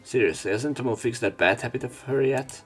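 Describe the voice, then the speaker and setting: A man's voice: medium pitch, brisk, breathy edge. A man doing a let's play, reading a visual novel aloud in an everyday voice and adding casual commentary. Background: game music.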